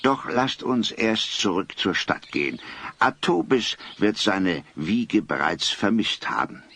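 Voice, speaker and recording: A grave old grandfather with a deep, husky voice. He speaks slowly and formally, swinging through solemn, courteous phrases in an audio drama. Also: fairly bright tone, heavily acted. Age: older. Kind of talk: German audio drama acting.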